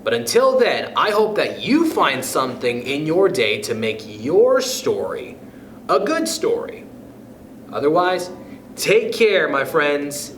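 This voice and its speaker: A man hosting storytime for children, reading aloud expressively with dramatic, deliberate phrasing and pauses. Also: a little high, big pitch swings, a bit bright. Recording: echoey room with background noise.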